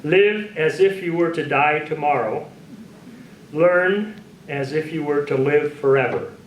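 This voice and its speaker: A man giving a keynote speech at a ceremony, telling stories to an audience in a measured, anecdotal way. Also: on the high side. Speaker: keynote speaker at an awards ceremony. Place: large room at a public ceremony. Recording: echoey hall, distant mic, faint background noise.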